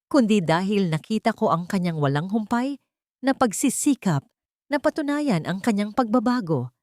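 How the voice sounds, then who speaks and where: A woman's voice: mid-range voice, darkish tone, dry recording, studio quality. A woman with a Filipino accent reading a dramatic story as a scripted audiobook narration.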